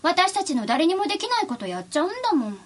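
A woman's voice: very high voice, big pitch swings, fast talker. A woman playing an earnest, bossy young female agent in an anime-style fantasy radio drama. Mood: admiring. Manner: bossy, exasperated, emphatic.

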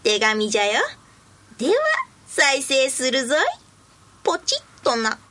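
A woman's voice: high voice, a little slow, pushed and bright, slight background noise. A woman playing a playful, kindly elderly female commander in an anime-style radio drama, with old-fashioned elder speech.

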